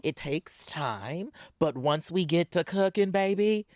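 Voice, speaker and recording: Casting: South Carolina-born man, lively swooping pitch, dry close sound, emphatic casual talk. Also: dry recording. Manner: expressive, drawn-out and emphatic. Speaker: guest, journalist. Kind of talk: casual chat.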